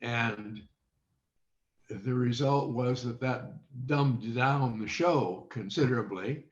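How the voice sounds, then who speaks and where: Older male interview guest, slow and halting, reminiscing; echoey room sound. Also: medium pitch. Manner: halting, deliberate. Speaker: interview guest, former child radio quiz contestant. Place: remote video call. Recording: dull, echoey video-call audio.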